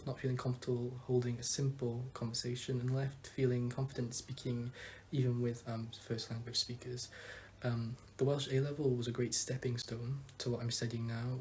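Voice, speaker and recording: An earnest young university student talks about his studies, often pausing on ums. His delivery stays very flat, and faint ambient noise sits behind him. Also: medium pitch. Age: young adult. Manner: earnest, hesitant with many ums. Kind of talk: student testimonial.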